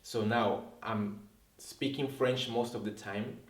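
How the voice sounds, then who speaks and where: A man's voice: mid-range voice, fairly even intonation, slow. A man whose first language is Spanish, a language teacher hosting a reflective talk to camera, earnest and often repeating words as he searches for them. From Latin America. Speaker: language teacher and video host. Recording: roomy, distant sound.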